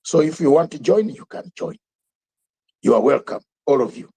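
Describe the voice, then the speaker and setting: High, husky older man preaching politics. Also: animated, moderate pace, warm tone. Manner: emphatic, repetitive, rhetorical questions. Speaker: host of an online live talk show. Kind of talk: fiery live commentary.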